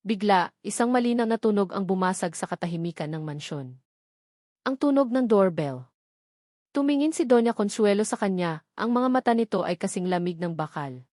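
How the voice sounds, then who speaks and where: Female Filipino storyteller, mid-pitched, close dry sound, scripted dramatic romance narration. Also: animated, moderate pace, a little mellow, no echo.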